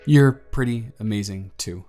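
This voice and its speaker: A man playing an earnest young single dad in his twenties, talking softly and a little flustered in an audio roleplay. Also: on the low side, measured pace, faint music behind, close to an everyday voice. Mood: tender. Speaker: father confessing feelings to the babysitter.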